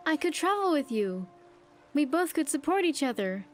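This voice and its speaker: A woman's voice: high voice, big pitch swings, normal speed, soft background music. A woman playing an earnest, grateful young survivor companion in a video game, speaking in careful, formal phrases. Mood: relieved, grateful. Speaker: companion character in a game mod. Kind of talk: scripted game dialogue.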